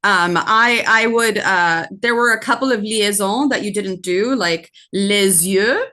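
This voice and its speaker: Female judge, native French speaker, unhurried and measured delivery, constructive feedback to a contestant over a video call. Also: medium pitch, pushed and bright. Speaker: singing competition judge. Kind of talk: spoken critique to a contestant.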